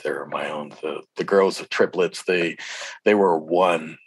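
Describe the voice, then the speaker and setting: Deep-voiced man chatting, slightly husky. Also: steady tone, measured pace, no echo. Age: middle-aged. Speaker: interview guest, rapper. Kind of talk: casual chat.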